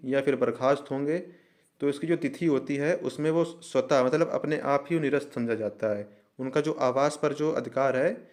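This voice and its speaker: Male presenter, North Indian accent, medium pitch, methodical explainer who reads out official text and restates each term. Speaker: presenter of an exam information channel. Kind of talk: explanatory lesson, reading a document aloud and explaining.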